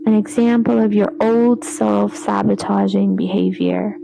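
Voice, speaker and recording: A woman leading a guided relaxation meditation in a soothing, unhurried way, with phrases trailing off breathily. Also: mid-range voice, steady tone, creaky, poor audio. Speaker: yoga nidra meditation guide. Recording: voice over background music.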